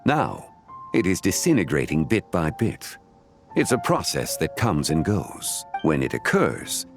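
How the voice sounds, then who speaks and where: A man playing a calm, learned, courteous scholar of ancient lore in a video game, speaking in a formal, measured, eloquent way. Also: low voice, exaggerated voice. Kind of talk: video game dialogue acting.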